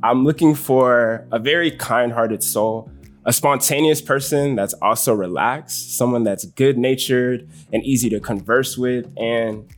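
A man's voice: medium pitch, a little slow, faint music behind. A man in his twenties from Zimbabwe, a dating show contestant, talking earnestly about himself. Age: 20s. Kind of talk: casual chat.

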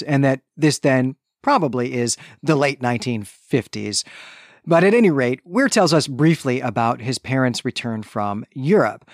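A man with a mid-pitched voice talks as a book podcast co-host. He lays out details in a measured, explanatory way, stressing the key points. The sound is dry, with very little room in it. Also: no room sound. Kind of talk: book recap discussion, explanatory.

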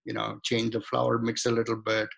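A man's voice: medium pitch, completely flat delivery, moderate pace, gravelly, fairly bright tone, slightly rough. A middle-aged man from India, a guest in a casual interview, talking in a rambling way with many restarts and fillers. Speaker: guest, home cook and shaving-product maker.

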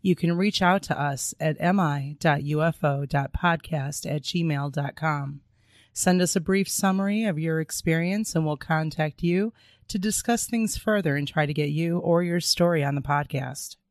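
A woman co-hosting a podcast in casual chat, her voice raspy and hoarse from a sinus infection. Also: low voice, moderate pace, no room sound, clean studio sound. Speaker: podcast co-host.

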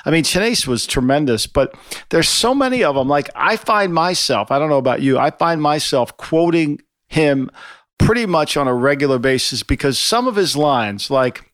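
Middle-aged male co-host, fairly high voice, dry sound, rambling animated chat. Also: normal speed, dry recording. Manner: rambling, animated, quoting lines with laughs. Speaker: podcast co-host. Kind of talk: casual chat.

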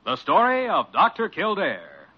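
A man's voice: high voice, wildly swooping pitch, a little slow, breathy edge. A man announcing a program in a dramatic, declamatory 1940s radio style. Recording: dull vintage radio, loud music behind.

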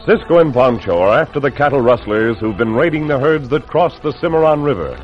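A man's voice: mid-range voice, expressive, brisk, over music. A man narrating a radio adventure serial in a dramatic, scripted mid-century announcer style. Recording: muffled old radio broadcast.

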